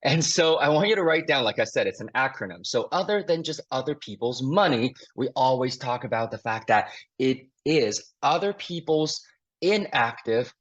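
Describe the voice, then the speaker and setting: A man's voice: mid-range voice, lively intonation, normal speed, breathy edge. A man from Taiwan whose first language is Mandarin, hosting a live investing webinar over a video call in an upbeat, chatty, self-deprecating way. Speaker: investing mentor and webinar host. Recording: dull lo-fi video call audio.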